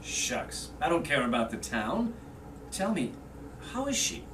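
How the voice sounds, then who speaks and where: A woman acts out a middle-aged army husband in a stage play, very low in voice and lively in pitch. He is brusque and modest, yet eager. The sound comes from across an echoey room. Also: measured pace. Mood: gruffly modest. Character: excited returning soldier husband, middle-aged man, gruffly modest. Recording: distant mic, echoey room, faint noise.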